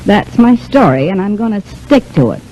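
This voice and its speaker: An actress playing a quick-thinking, bossy, protective showgirl friend in a vintage radio soap, talking briskly and chattily. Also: medium pitch, sing-song, dark tone, lightly acted. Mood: breezy, bluffing. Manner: brisk, chatty, takes charge. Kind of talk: 1930s-40s radio soap opera acting. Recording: muffled, hissy vintage radio recording.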